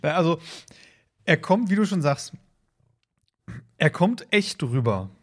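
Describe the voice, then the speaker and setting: Rambling man with a slightly high voice. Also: sing-song, takes it slowly. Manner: rambling, repeats words, acts out short quotes. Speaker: podcast co-host. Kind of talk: casual discussion of an audio drama.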